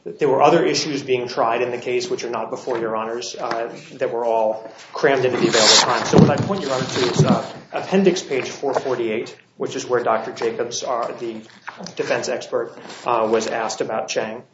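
Scratchy-voiced man arguing in court. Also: mid-range voice. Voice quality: slightly scratchy voice. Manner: measured, with frequent filler pauses. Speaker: appellate attorney. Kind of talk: courtroom oral argument. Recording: dull, roomy courtroom recording.

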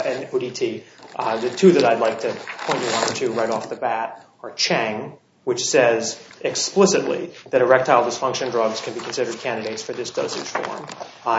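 Attorney, male, slightly scratchy voice, measured delivery with filler pauses; roomy, dull courtroom recording. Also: medium pitch. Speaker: appellate attorney. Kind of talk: courtroom oral argument.

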